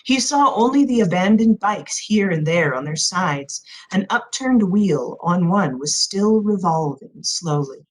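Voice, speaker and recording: A woman reading a novel aloud as expressive scripted narration. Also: fairly low pitch, a little slow, hardly any pauses, rough recording. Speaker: audiobook narrator.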